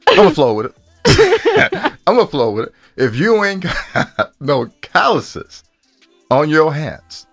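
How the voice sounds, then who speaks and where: A man's voice: fairly high pitch, huge pitch swings, slow, fairly bright tone, faint music behind. A man co-hosting a podcast in casual chat, with slangy, teasing banter. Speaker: podcast co-host.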